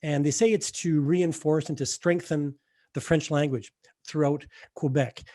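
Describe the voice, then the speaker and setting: Man hosting a talk podcast, higher voice. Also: fairly even intonation, a little slow, mellow. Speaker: podcast host. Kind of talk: scripted intro then interview questions.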